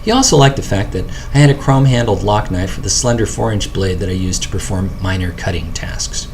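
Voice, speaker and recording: A man's voice: lowish voice, lively intonation, brisk, slight background noise. A middle-aged American man reading his own first-person memoir as scripted audiobook narration.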